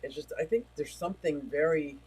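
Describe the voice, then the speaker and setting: A man hosts a relaxed livestream from home, rambling between topics and reading out messages from his chat. His voice sits a little high for a man and he feels it starting to give out. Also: a little fast, husky, rough recording. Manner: rambling, chatty, reads chat messages aloud. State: losing his voice. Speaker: livestream host. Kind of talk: casual livestream chat reading viewer comments.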